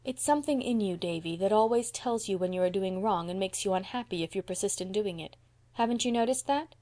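A gentle young woman from a storybook , voiced by a woman reader. She speaks softly and patiently, with the kindly gravity of someone comforting a child. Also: mid-range voice, a little fast, close to an everyday voice. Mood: gentle, grave. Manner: soft-spoken, grave, kindly. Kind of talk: audiobook character voice.

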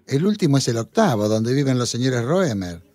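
Animated, matter-of-fact male attendant, acted by a man. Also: mid-range voice, normal speed, no echo, natural voice. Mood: puzzled. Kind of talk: radio play acting.

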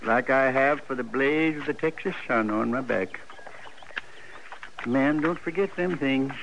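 A man's voice: medium pitch, unhurried, creaky, fairly bright tone, lo-fi sound. An actor playing a talkative, nostalgic old Western man who rambles in folksy frontier speech in a radio drama. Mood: fond, reminiscing. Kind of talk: 1950s radio western acting. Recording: noisy old radio recording.

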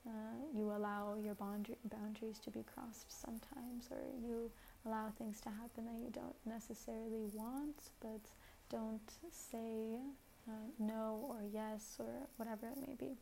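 A woman with a very soft voice giving a gentle, reflective spiritual talk as a host, often pausing with fillers. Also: a little high, little pitch movement, gravelly, slight background noise, poor audio. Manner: gentle, hesitant, many fillers.

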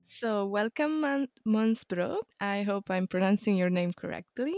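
A woman's voice: medium pitch, measured pace, darkish tone, no echo, clean studio sound, faint music behind. A woman hosting a podcast on yoga, welcoming her guest and asking plain questions in a friendly way. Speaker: podcast host. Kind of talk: podcast interview.

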